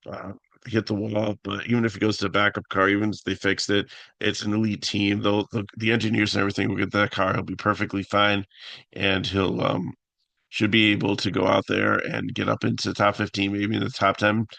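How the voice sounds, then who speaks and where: A man with a raspy voice hosting a casual fantasy picks talk, halting with many fillers and restarts. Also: fairly low pitch, flat delivery, fairly bright tone, dry recording. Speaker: fantasy sports analyst host.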